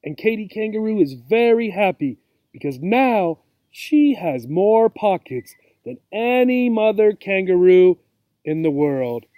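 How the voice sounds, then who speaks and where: Slow, high-voiced man reading a storybook. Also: expressive, mellow, no room sound. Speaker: teacher reading to young learners. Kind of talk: read-aloud children's storybook with asides to listeners.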